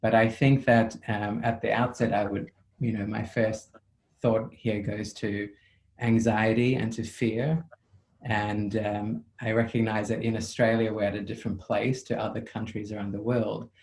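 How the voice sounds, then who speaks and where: South African male therapist, level low delivery, measured and reflective, video call audio. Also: little pitch movement, a little mellow. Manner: measured, reflective, with frequent ums. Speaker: psychotherapist. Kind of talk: thoughtful interview answers.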